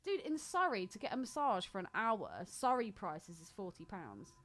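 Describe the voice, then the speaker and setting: A woman's voice: mid-range voice, very animated, normal speed. A woman with a southern English accent co-hosting a podcast, telling gossipy stories in blunt, slangy, sweary language. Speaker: podcast co-host. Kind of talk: casual chat, gossipy storytelling.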